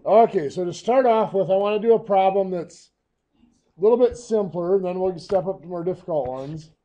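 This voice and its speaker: A hoarse, coughing man teaching a math lesson, walking through each step patiently. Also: high voice, brisk, warm tone.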